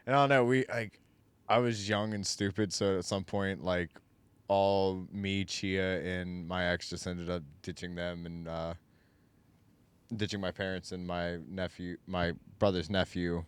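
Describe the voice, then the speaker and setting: Smooth-voiced rambling young man. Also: deep voice, fairly even intonation, measured pace, dry recording. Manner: rambling, hesitant, trails off. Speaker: podcast co-host. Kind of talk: casual podcast chat.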